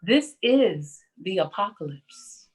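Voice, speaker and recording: A woman's voice: mid-range voice, big pitch swings, slow pace. A woman playing an anxious, dedicated city nurse in a poetic dramatic monologue. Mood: anxious resolve.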